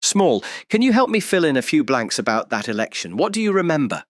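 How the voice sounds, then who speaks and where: A man's voice: fairly high pitch, sing-song, fairly quick, no room sound, studio quality. A British man playing a curious, polite, probing interviewer in an audio drama.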